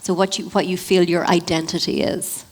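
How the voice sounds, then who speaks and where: A woman moderating a panel discussion, brisk and lightly teasing. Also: fairly low pitch, little pitch movement. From Ireland. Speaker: interviewer.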